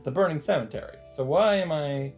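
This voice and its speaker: A man's voice: fairly high pitch, fairly quick, faint music behind. A man reading visual novel text aloud as casual narration, dropping in his own asides.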